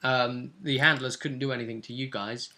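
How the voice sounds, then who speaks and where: A man's voice: medium pitch, steady tone, moderate pace, bright, forceful tone. A man hosting as game master, casually recapping a tabletop game and hesitantly piecing events together as he goes.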